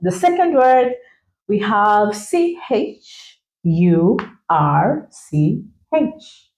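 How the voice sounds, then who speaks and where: Unhurried Kenyan woman host, teasing. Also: medium pitch, sing-song, darkish tone. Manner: teasing, spells words slowly, laughs often. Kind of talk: playful casual chat. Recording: roomy echo.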